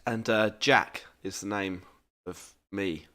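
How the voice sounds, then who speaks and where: Male podcast co-host, low-pitched, congested and nasal, rambling and self-deprecating. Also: steady tone, takes it slowly, fairly bright tone. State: congested from hay fever, bunged up. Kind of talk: casual chat.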